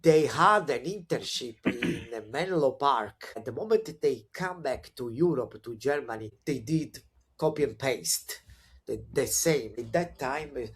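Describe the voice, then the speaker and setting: A man in his forties with an Italian accent, talking as a podcast guest in a relaxed, conversational way. His pitch sits in an ordinary middle range while he fills his sentences with hesitations and restarts, and he brightens up when excited. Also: a little slow, slightly rough. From Italy. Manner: hesitant, many fillers and restarts; upbeat and animated when excited. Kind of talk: conversational interview storytelling.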